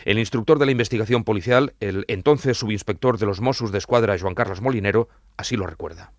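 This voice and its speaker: A man's voice: fairly low pitch, fairly quick, dry recording, clean studio sound. A man hosting a podcast with scripted documentary narration.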